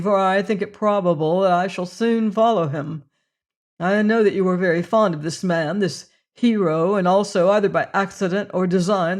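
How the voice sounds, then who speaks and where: A stern, proud young man, as the narrator reads him, firm and grave, speaking loudly. He holds himself upright and quick to anger. A storybook hero. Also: medium pitch, lightly acted. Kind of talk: audiobook character voice.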